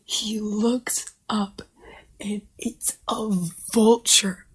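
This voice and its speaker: A woman telling a playful, dramatic story in a breathy whisper. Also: fairly high pitch, flat delivery, drawn-out words, poor audio.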